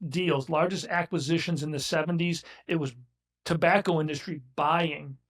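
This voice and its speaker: A man's voice: fairly high pitch, fairly even intonation, moderate pace, a bit bright. A man in his sixties, a business owner talking as a guest in a casual interview, blunt and emphatic, often restarting his sentences. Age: 60s.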